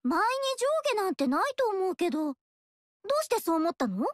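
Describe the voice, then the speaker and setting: A woman's voice: extremely high pitch, animated, a little fast, dry recording. An actress voicing a cheerful, friendly young female monk in a game. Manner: bright and friendly. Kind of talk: game dialogue. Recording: dry studio voice.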